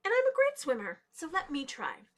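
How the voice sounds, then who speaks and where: A woman's voice: high voice, huge pitch swings, moderate pace, voice pushed up. A woman voicing an eager, confident female turtle in a folktale, speaking earnestly and emphatically.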